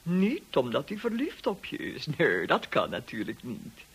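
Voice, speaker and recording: A woman's voice: low-pitched, wildly swooping pitch, a little slow, slightly rough, full character voice. An actress playing a condescending older society lady in a Dutch radio drama, theatrical and patronising, with false sweetness. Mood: patronising, cutting.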